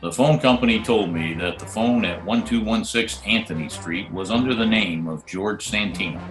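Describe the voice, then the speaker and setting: An actor playing a wisecracking, sardonic private detective who also narrates, in a 1940s-style radio drama. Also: male voice, fairly low pitch, normal speed, natural voice. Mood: matter-of-fact. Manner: dry, sardonic. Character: wisecracking hard-boiled private detective, narrating his case. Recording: roomy remote home recording, faint music.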